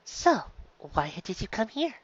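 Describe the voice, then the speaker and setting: Narrator doing a nice lady unicorn shop owner in a story, soft halting way of talking, higher pitch, bit muffled. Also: sing-song, dry recording. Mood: gentle, friendly. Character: kindly, apologetic female unicorn shopkeeper, bright and gentle.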